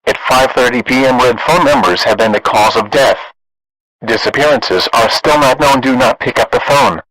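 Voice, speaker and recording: A man playing a stern emergency alert announcer, reading an official broadcast message flatly and tersely. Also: mid-range voice, moderate pace, dry recording, natural voice, rough recording.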